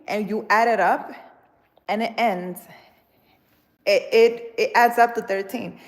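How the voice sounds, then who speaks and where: A woman hosts a relaxed live stream, talking to her viewers as if they were friends. Her voice sits a bit high, and she takes her time. She laughs easily and drops Spanish exclamations into her English. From Dominican Republic. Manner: chatty, laughs often, drops in Spanish words. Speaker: live-stream host, spiritual healer.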